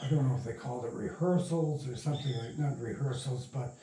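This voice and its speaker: A man's voice: mid-range voice, fairly quick, dark tone, slight background noise. An older man telling anecdotes casually as an interview guest, rambling and circling back mid-sentence. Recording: echoey room, distant mic.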